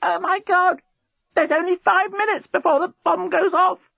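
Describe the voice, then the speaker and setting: A woman's voice: fairly even intonation, normal speed, creaky, fairly bright tone, dry recording, heavily acted. A man voicing an ancient, frail old woman who cries out in alarm. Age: older. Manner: shrill and alarmed. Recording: slightly muffled dry recording.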